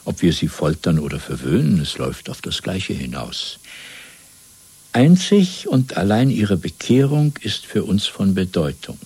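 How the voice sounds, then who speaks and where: A man playing a cold, fanatical party interrogator in a German radio drama, lecturing deliberately and domineeringly with a husky, breathy voice. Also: deep voice, sing-song, no room sound, exaggerated voice. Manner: didactic, deliberate, swelling from hushed to emphatic.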